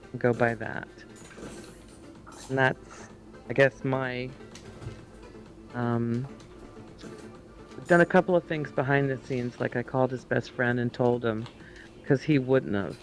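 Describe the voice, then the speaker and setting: A middle-aged woman with a calm, soothing voice, talking as a guest in a heartfelt conversation, gentle and measured. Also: very deep voice, vocal fry.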